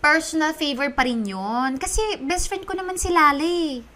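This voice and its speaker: A woman's voice: high voice, moderate pace, sharp tone. A woman playing a teasing, persuasive young student in a radio drama, with a coaxing manner and a Filipino accent. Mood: pleading.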